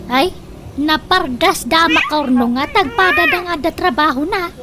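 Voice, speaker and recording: A woman playing a high-voiced, boastful gossipy mother. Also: expressive, unhurried, noisy background. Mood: boastful, needling. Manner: bragging, needling. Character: boastful, gossipy middle-aged village mother. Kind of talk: comedic radio drama acting. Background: music.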